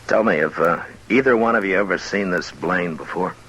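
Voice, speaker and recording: An actor playing a stern, steady U.S. marshal in an old-time radio western, measured, authoritative and plain-spoken. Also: male voice, sits low, breathy edge, low-quality recording. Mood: questioning, steady.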